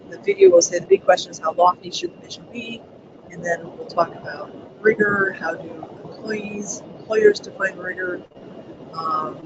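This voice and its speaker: A webinar presenter walking listeners through training materials, with a soft voice that does not carry, pausing often with ums. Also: mid-range voice, dull audio. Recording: loud background noise on a webinar mic.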